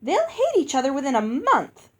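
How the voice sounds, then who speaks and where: A woman's voice: high-pitched, wildly swooping pitch, normal speed, voice pushed up. A woman voicing a skeptical, disapproving storybook lady fairy who speaks bluntly and pointedly. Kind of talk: acted children's storybook reading.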